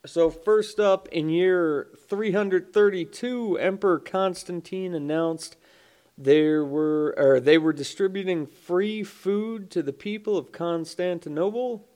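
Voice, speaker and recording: A man's voice: high-pitched, takes it slowly, a little mellow. Congested, swearing man podcasting. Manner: swears often, offhand jokes, stumbles over names. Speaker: podcast host. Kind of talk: casual solo podcast, reading daily history.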